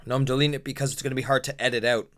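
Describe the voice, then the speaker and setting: A man hosting a loose podcast with his friends, talking at a middling pitch. He rambles, gets sarcastic, and keeps pulling the chat back on topic. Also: level tone, rapid-fire, a crowd in the background. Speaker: podcast host.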